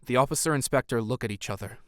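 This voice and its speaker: A man's voice: mid-range voice, fast, a bit bright, smooth, dry recording, studio quality. A man reading scripted narration as the narrator of an audio drama.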